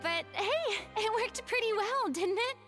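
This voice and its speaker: High-voiced, theatrical young former star. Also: female voice, measured pace, faint music behind, exaggerated voice. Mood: sheepish bluster. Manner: expressive, stagey, hesitant when flustered. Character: theatrical young female former star, proud yet easily flustered. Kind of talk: video game voice acting.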